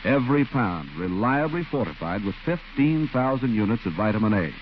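A man's voice: medium pitch, a little hiss or hum. An American man announcing in a scripted 1940s radio style, as for sponsor spots and credits. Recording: muffled 1940s radio recording, faint music.

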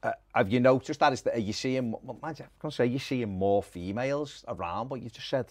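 A woman hosting a podcast interview, encouraging her guest with quick reactions and laughter. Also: rumbling low, darkish tone, breathy edge, dry recording, studio quality. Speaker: podcast host. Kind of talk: casual interview chat.

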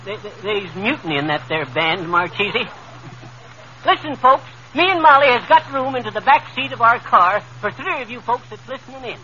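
High, folksy radio blusterer. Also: male voice, expressive, moderate pace, pushed and bright, heavily acted. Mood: blustering, folksy. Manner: folksy, ungrammatical, stammering bluster. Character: folksy, blustering married man making tall offers. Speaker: comedy show star. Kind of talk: 1930s radio comedy acting. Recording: dull, scratchy 1930s radio recording.

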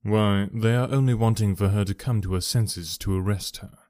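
A man reading an audiobook and voicing a calm, incisive detective who speaks in a measured, courteous, precise way. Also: fairly low pitch, no room sound, studio quality, voice pushed down, lightly acted.

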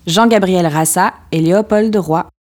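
A woman's voice: on the low side, moderate pace, darkish tone. A woman presenting a podcast, reading a scripted outro and credits.

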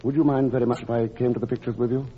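An actor playing a courteous English doctor in an old-time radio drama, polite and dryly playful. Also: male voice, medium pitch, little pitch movement, racing, natural voice. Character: courteous, gently teasing English male doctor. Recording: muffled vintage radio recording with music.

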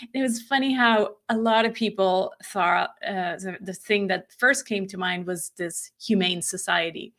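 A woman's voice: mid-range voice, unhurried, a bit bright. A woman whose first language is Swiss German, a podcast guest talking in a reflective, casual way and often restarting sentences. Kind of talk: casual conversation.

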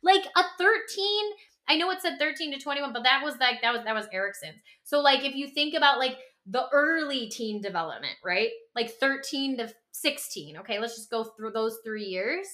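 A woman's voice: high voice, moderate pace. A woman co-hosting a podcast, explaining ideas casually and emphatically with rhetorical questions. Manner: animated, emphatic, uses rhetorical questions and acted-out quotes. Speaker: podcast co-host.

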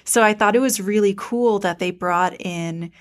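A woman's voice: medium pitch, normal speed. A woman co-hosting a podcast in casual fan chat, playful and articulate. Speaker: podcast co-host.

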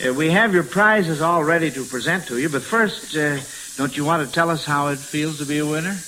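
A high-pitched American man with a bright, projecting voice hosts a 1940s radio quiz. He talks in folksy schoolmaster patter. The old broadcast recording has a light hiss. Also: fairly quick, rough recording. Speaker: radio quiz show host.

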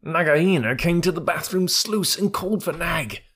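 A man voicing a silly, excitable male tailorbird with a husky, sing-song voice. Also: on the high side, moderate pace, pitched above the performer's own voice, exaggerated voice. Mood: excited, boastful.

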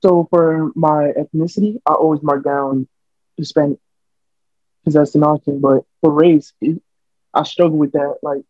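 A young man with an American accent, talking through his thoughts in an earnest, rambling way and restarting often. His voice sits fairly high but stays flat and even. The recording is dull and dry, like a home podcast setup. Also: normal speed, dry recording. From United States. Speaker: podcast co-host. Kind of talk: casual chat.

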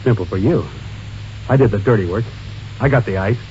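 An actor playing a wary, resentful small-time crook, blunt and slangy, in a 1940s radio crime drama. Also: male voice, lowish voice, a little fast, mellow, natural voice. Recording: muffled, faintly noisy old radio recording.

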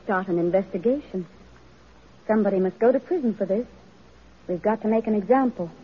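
A woman's voice: medium pitch, a little fast, breathy edge, lightly acted. An actress playing a principled, determined woman social reformer in a radio drama, speaking earnestly and firmly. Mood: righteous, firm. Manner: earnest, firm, declarative. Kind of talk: vintage radio drama acting. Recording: muffled vintage radio, music behind.

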